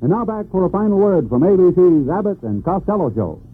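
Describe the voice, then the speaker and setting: A man reading a scripted promo as a radio announcer, in a smooth, emphatic style on an old broadcast. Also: high voice, very animated, fairly quick, phone-quality sound.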